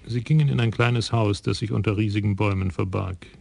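A man narrating a radio crime drama in a measured, even storytelling voice. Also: lowish voice, gravelly, slightly rough, dry recording.

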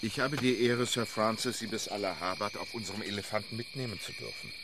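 An actor playing a composed, decisive English gentleman traveller in a radio play, speaking formally and precisely. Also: lowish voice, fairly quick, background noise, natural voice.

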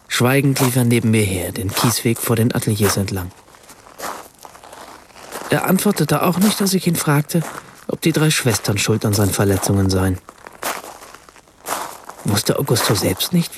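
An actor playing a curious, sceptical writer, narrating a radio play in a measured, reflective, literary way. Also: male voice, medium pitch, noisy background, lightly acted.

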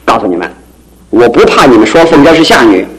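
A man acting in a Chinese radio drama as an excitable, lovestruck, boastful office clerk, with an animated, exclamatory delivery. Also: mid-range voice, quick pace, natural voice, low-quality recording. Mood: exasperated.

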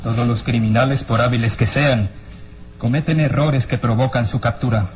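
An actor playing a stern, suspicious armed police inspector in an old radio serial, barking orders. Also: male voice, mid-range voice, normal speed, natural voice. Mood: accusing.

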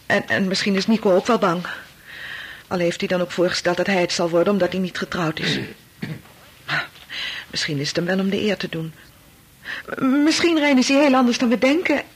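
A woman acts a troubled, insistent wife in a Dutch radio drama. Her voice is very husky and fairly natural. She hesitates, repeats herself and keeps probing. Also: mid-range voice, brisk, long pauses, close to an everyday voice. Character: anxious, probing wife of a doctor, brooding and insistent. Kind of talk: Dutch radio play acting.

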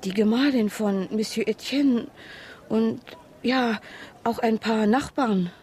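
Hesitant, husky-voiced chambermaid. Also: female voice, on the high side, warm tone. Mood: shaken, hesitant. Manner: halting, subdued. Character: shaken, hesitant female chambermaid in a grand house. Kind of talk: German radio crime drama acting.

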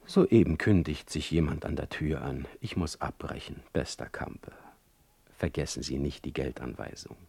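Proud exiled poet, very low creaky voice, animated swings, declamatory, brooding, radio drama. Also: male voice, moderate pace, no echo, heavily acted. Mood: quiet, resigned. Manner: declamatory, lofty literary diction. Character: Heinrich Heine, proud, embattled exiled poet, brooding and vehement. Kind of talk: German radio play acting.

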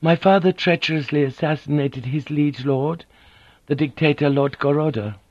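A man voicing a gentle, composed Japanese noblewoman who speaks softly and formally. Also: on the high side, moderate pace, no room sound, muffled recording, voice pushed up. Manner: soft, formal, careful speech.

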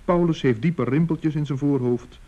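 A man's voice: on the high side, moderate pace, mellow. Male storyteller on a dull old recording. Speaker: storyteller narrator. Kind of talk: scripted narration of a children's radio play.

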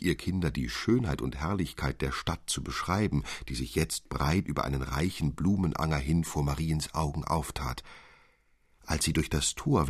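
A man with a low, husky voice narrates a classic fairy tale. His storytelling is literary and expressive, and the recording is close and dry. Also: no echo.

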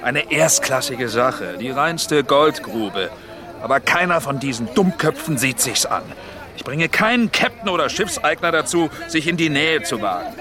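An actor playing a brash, scheming sea trader in a radio drama, boastful, coaxing and coarse. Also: male voice, fairly high pitch, animated, normal speed, lightly acted. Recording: busy outdoor crowd behind. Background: outdoor crowd bustle.

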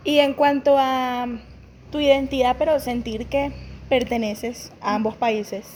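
A woman interviewing, asking gentle, probing questions with hesitant fillers. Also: sits high, steady tone, measured pace, a little hiss or hum.

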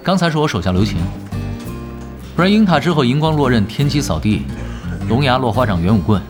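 A man's voice: medium pitch, very animated, a little fast, over music, natural voice. An actor playing a laid-back, cheeky young male gamer who is offhand and blunt, in an audio drama. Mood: cool, critical. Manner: offhand, hesitant mumbles turning blunt.

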